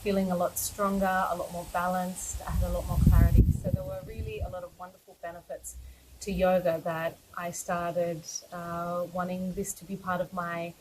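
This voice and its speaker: A woman in her thirties with an Australian accent, a yoga teacher speaking gently and earnestly in a personal message, with soft fillers. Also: lowish voice, level tone, moderate pace, noisy background.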